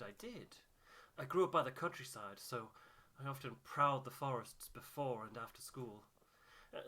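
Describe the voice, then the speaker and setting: A man voicing a genial, courteous older gentleman with hearty, old-fashioned manners in a video game. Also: mid-range voice, lightly acted. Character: genial older gentleman, proud father of grown son. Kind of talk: theatrical game dialogue reading.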